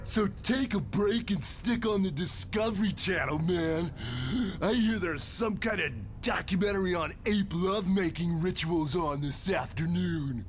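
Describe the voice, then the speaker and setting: A man's voice: sits high, a little slow, soft background music. A man voicing a crude mutant villain who also poses as a gushing woman in a motion comic. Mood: gloating, crude. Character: crude huge male villain catfishing as a gushing lovelorn woman.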